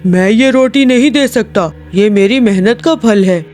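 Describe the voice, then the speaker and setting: An actor playing a poor, earnest woodcutter in a cartoon story, with music behind. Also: male voice, high-pitched, measured pace, a little mellow. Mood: nervous, insistent.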